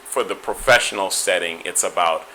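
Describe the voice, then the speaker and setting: A middle-aged man from Guyana with a Caribbean accent, answering interview questions in a reflective, measured way, with frequent restarts. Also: low voice, sharp tone, slight background noise, low-quality recording. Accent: Caribbean, Guyanese and Trinidadian. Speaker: interview guest.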